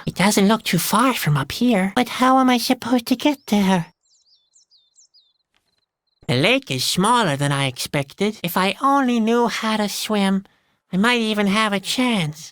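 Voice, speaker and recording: High-voiced, melancholy young clown boy. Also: expressive, moderate pace, fairly bright tone, no echo. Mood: puzzled. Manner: hesitant, mournful musing. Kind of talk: scripted adventure game dialogue. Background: music.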